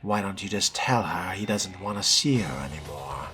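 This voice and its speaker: Music plays behind a low-voiced man acting as a skeptical young vampire in a game. His delivery is slow and dry, with sardonic swings up and down. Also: lively intonation. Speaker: player voicing his game character. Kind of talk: role-play dubbing of game dialogue. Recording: background music under the voice.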